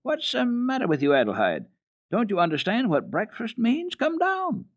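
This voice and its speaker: A man voicing a stern, impatient woman housekeeper with a sharp, commanding delivery in a children's radio story. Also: very high voice, wildly swooping pitch, moderate pace, no echo, voice pushed up, exaggerated voice. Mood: scolding, impatient. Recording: tinny band-limited archival radio recording.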